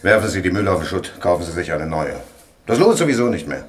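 An actor playing a harried, put-upon electrician in a German radio play, brusque, repetitive and complaining. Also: male voice, low voice, big pitch swings, fast. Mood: dismissive.

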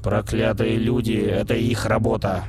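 A man's voice: on the low side, little pitch movement, moderate pace, over loud music, close to an everyday voice. A man voicing a proud, suspicious male sphinx who lectures in a haughty, grumbling way. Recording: loud background noise.

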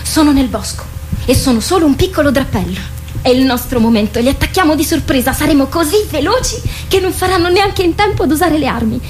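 An actress in a radio drama playing a boastful, impatient teenage warrior girl, bossy and excitable. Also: sits high, a little fast, soft background music. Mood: urgent, eager.

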